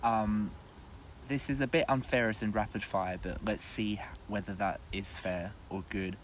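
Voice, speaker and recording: A man hosting a podcast outdoors, asking quick-fire questions in a playful, teasing way and laughing a lot. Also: on the low side, steady tone, slight background noise. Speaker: podcast host. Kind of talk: casual rapid-fire interview questions. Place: outdoors in college grounds.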